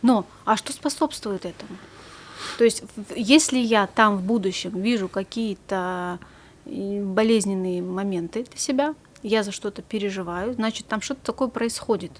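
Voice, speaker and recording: A woman psychologist, a guest in a studio conversation, calmly explaining and trailing off softly at the ends of her phrases. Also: mid-range voice, moderate pace.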